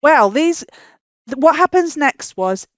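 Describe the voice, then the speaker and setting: High-voiced woman storyteller, slightly muffled. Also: unhurried, dry recording. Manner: animated, simple child-directed explaining. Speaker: children's storyteller and host. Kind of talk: informal storytelling to a puppet dog.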